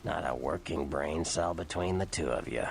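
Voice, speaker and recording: An actor playing a seedy, cynical vampire informant in a video game, sardonic and streetwise in manner. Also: male voice, normal speed. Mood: sardonic, amused. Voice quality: gravelly, deep.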